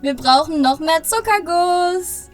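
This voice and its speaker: A woman's voice: extremely high pitch, animated, slow pace, soft background music, exaggerated voice. A woman playing a sensible, fretful little Christmas elf in a children's audio play. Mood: practical.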